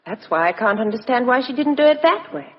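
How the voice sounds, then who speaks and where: A woman's voice: a little high, expressive, fast, natural voice. An actress playing a self-possessed, articulate young Englishwoman in a 1950s British radio drama, with an English accent. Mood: insistent, puzzled. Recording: muffled, reverberant vintage radio recording.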